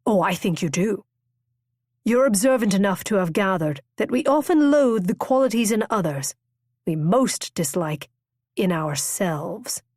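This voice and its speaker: A woman voicing a proud young gentleman who speaks in clipped, formal sentences full of cold reproach. The voice sits at a middling pitch, and the recording is dry and close. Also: lively intonation, a little slow, no echo. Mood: cutting.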